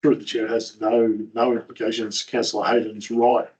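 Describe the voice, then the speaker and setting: A man council director answering a question in a measured way at a meeting. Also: lowish voice, little pitch movement, a little mellow, poor audio. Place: council chamber.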